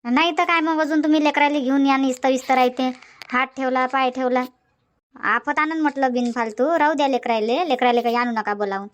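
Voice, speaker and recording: In a dubbed cartoon comedy, a nagging old village grandmother, voiced by a woman, bosses her husband around. She speaks with a Varhadi Marathi accent and answers back fast. Her voice sits high and light. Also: close to an everyday voice. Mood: bossy, bickering. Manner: nagging, quick comebacks.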